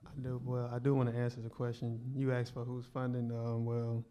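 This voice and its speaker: Laid-back man with a flat, smooth voice. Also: mid-range voice, fairly quick. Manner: laid-back, plain-spoken. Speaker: panelist. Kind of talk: panel answer.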